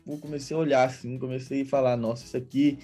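A man's voice: mid-range voice, flat delivery, quick pace, mellow, breathy edge, faint music behind. A man of about twenty with a Brazilian accent, explaining things casually as an interview guest in a rambling, informal way. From Salvador, Bahia, Brazil. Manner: informal, rambling, quoting himself in dialogue. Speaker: interview guest, crypto investor.

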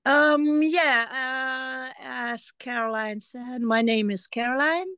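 A woman's voice: high voice, fairly even intonation, takes it slowly, fairly bright tone, clear, resonant tone, dry recording, lo-fi sound. A woman in her forties from Germany, a German speaker talking in English as a podcast guest, hesitant with many ums and restarts. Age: 40s. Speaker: interview guest. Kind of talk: casual conversation.

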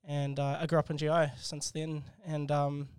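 Young New Zealand man, flat smooth voice. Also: on the high side, moderate pace, no echo, studio quality. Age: 20s. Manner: soft-spoken, hesitant, many restarts. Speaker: guest entrepreneur. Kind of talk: casual interview chat.

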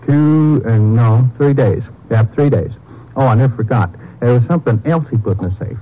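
A man's voice: medium pitch, animated, moderate pace, close to an everyday voice. A man playing an eager, folksy small-town hotel clerk in a 1950s radio drama, with a Texan accent and a rambling, deferential drawl. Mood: chatty, confiding. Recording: muffled vintage radio recording.

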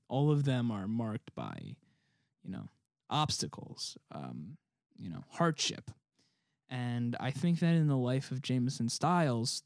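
A man's voice: medium pitch, darkish tone, no room sound, clean studio sound. A male podcast host in casual chat who gives playful, mock-grand encouragement.

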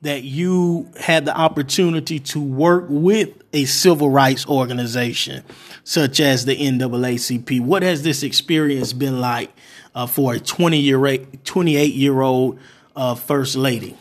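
A man hosting an interview, speaking in a halting way with fillers. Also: medium pitch. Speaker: interview host.

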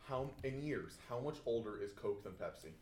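A man's voice: mid-range voice, expressive, moderate pace, noisy background. A man co-hosting a podcast, running a quiz and teasing the others' guesses. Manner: teasing, bursts into laughter. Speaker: podcast co-host asking quiz questions. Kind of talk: casual group chat.

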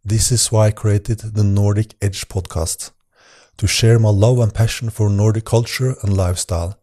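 A man delivers a scripted podcast monologue as its host. His voice sits low and sounds mellow and relaxed. He speaks in an earnest, measured way. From Norway.

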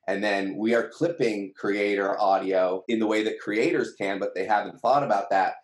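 A man's voice: fairly low pitch, steady tone, moderate pace. A man explaining things conversationally as an interview guest. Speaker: company team member being interviewed. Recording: roomy, echoing sound.

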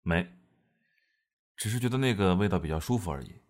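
A man acting an aloof young male officer in an audio drama, terse and cool with a guarded soft side. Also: low voice, expressive, a little fast. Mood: reserved.

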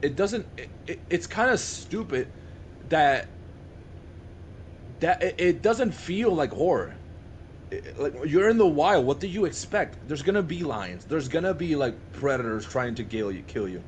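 A man's voice: a little high, expressive, a little slow, a little hiss or hum. A young man chatting casually and enthusiastically with friends as a podcast co-host, often restarting and repeating himself mid-thought. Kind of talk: casual chat among friends.

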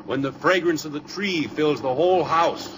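A man's voice: a little high, normal speed, lightly acted. An American actor playing a cheerfully reassuring middle-aged father in an old-time radio comedy. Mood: coaxing. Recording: noisy vintage radio recording.

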